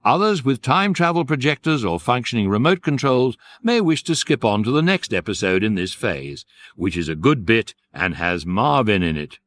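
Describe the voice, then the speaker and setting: An actor playing the wry, urbane, measured narrator of a guidebook in a radio comedy, with a British accent. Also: male voice, mid-range voice, big pitch swings, a bit bright. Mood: mock-dramatic.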